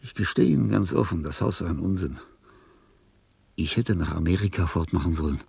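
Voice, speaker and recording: An actor playing a frail, dying old composer in a radio play, self-pitying and grandiose, rambling theatrically with a breathy, husky voice that often falls to a whisper. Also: male voice, low voice, brisk, darkish tone, no echo, exaggerated voice. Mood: bitter, self-pitying. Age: older. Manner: theatrical, rambling, with sudden swings from murmur to outburst. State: frail, ailing.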